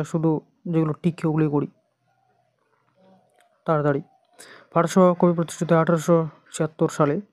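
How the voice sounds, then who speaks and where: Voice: medium pitch, a little mellow, dry recording. An exam coaching teacher with an Indian Bengali accent, methodically reading out quiz questions and answers and repeating them.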